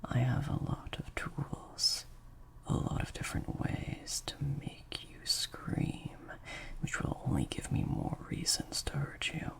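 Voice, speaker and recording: A man playing a slightly sadistic vampire master in an improvised audio roleplay, softly taunting and praising in a breathy, creaky near-whisper. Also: medium pitch, heavily acted. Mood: amused, sadistic. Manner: slow, drawn-out, softly taunting.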